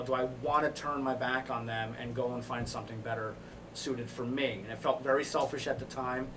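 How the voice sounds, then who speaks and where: A man's voice: mid-range voice, fairly bright tone, distant mic. Man telling his story, slightly off-mic, noisy. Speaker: podcast guest, firefighter. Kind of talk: personal storytelling, casual chat. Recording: off-mic with clear background noise.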